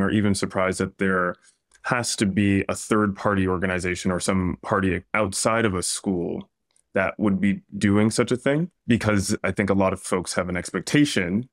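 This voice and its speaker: Deep-voiced man host, curious and thoughtful. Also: steady tone, moderate pace, no room sound, clean studio sound. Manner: thoughtful, curious, hedging with "I think". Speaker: podcast host. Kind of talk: interview questions.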